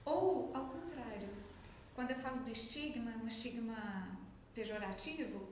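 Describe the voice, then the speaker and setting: A woman's voice: on the high side, measured pace, a little mellow. A woman with a Minas Gerais accent, a speech therapist explaining her work as an interview guest, in a didactic way. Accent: Minas Gerais Brazilian Portuguese. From Brazil, Minas Gerais. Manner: didactic, acts out quoted remarks. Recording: very echoey room, faint noise.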